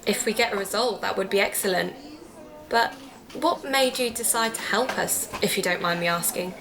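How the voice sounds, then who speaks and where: A woman's voice: medium pitch, moderate pace, fairly bright tone, lightly acted. A British woman playing a polite, persuasive amateur podcast investigator in an audio drama, courteous and explanatory. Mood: respectful.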